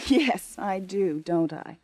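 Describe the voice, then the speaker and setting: A woman's voice: medium pitch, expressive, slow pace, a little mellow, dry recording. A woman playing a tipsy, bored American wife in her twenties, flippant and cynical, in a radio drama. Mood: tipsy, bitter. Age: 20s. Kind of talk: naturalistic radio drama acting.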